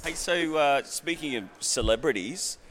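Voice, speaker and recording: A man's voice: on the high side, unhurried, a bit bright. A man hosting a casual interview chat, playful and teasing, cracking jokes with his guest. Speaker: podcast host.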